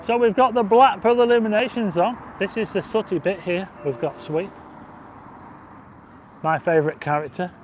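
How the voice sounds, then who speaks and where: A chatty man talking casually on a livestream, his voice a touch high and going hoarse, with frequent throat clearing. He speaks outdoors on a windy seafront with faint traffic behind him, picked up on a handheld mic. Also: fairly even intonation, moderate pace. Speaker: livestream host. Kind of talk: casual walking livestream chat.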